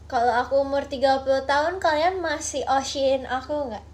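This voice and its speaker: Young woman chatting late at night on her phone, high-pitched, playful, drops to murmurs, bit of room hiss. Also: moderate pace. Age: 20s. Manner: playful, musing, drifts into soft murmurs. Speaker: idol livestreamer. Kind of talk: casual late-night livestream chat. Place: small bedroom at night. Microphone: phone at arm's length.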